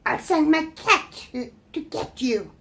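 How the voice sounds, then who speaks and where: A gloating old storybook witch, performed by a woman, who draws out her words slowly. She coaxes with false sweetness and mocks with open menace. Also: high-pitched, expressive, a bit bright, voice pushed up, exaggerated voice. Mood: angry. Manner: mocking, slow drawn-out coaxing.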